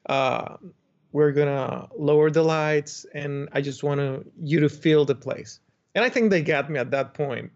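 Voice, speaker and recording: Young Dominican architect guest, higher-pitched, rambling storyteller; dull lo-fi audio. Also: male voice, unhurried, creaky, darkish tone. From Dominican Republic. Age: young adult. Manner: chatty storytelling with frequent restarts and laughs. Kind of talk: interview conversation. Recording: muffled lo-fi remote audio.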